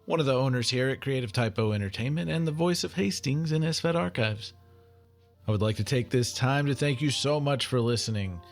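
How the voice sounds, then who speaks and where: A man's voice: medium pitch, lively intonation, brisk. Man addressing listeners, faint music behind. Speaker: show producer and voice actor. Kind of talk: scripted thank-you announcement to listeners.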